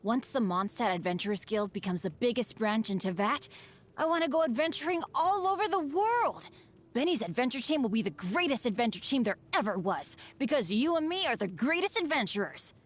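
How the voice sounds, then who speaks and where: A woman's voice: fairly high pitch, big pitch swings, brisk. A woman voicing a cheerful, unlucky teenage boy adventurer for a video game, earnest, casual and humble. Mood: eager, hopeful. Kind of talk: video game character voice lines.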